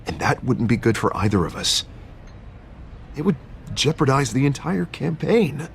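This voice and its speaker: An actor playing a genial, earnest political candidate in a video game, with an American accent and an affable, expressive delivery. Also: male voice, mid-range voice, normal speed, gravelly, a little hiss or hum. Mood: concerned. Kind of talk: video game cutscene acting. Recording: faint background ambience.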